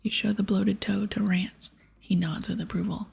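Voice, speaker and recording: A woman hosting an ASMR game playthrough in a breathy whisper, narrating and reading dialogue aloud close to the microphone. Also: medium pitch, level tone, normal speed, a little mellow, faint music behind. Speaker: ASMR gaming host.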